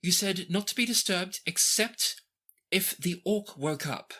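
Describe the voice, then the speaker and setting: A woman's voice: on the low side, expressive, a little slow, bright, forceful tone, husky, voice pushed up, exaggerated voice. A woman voicing a formal, deferential palace guard in a fantasy tabletop game. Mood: apologetic.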